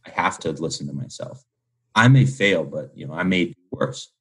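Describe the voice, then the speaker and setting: A man's voice: deep voice, lively intonation, moderate pace, a bit bright, husky. A man in his twenties talking casually and candidly as an interview guest, with informal, slangy phrasing full of "like". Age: 20s. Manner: informal slangy speech peppered with "like". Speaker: podcast guest, young entrepreneur.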